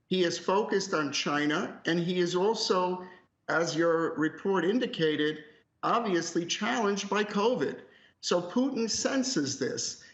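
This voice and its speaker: A man speaking as an academic guest analyst on live news, conversational but emphatic, opinionated and direct. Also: on the high side. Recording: roomy echo, slightly muffled call audio.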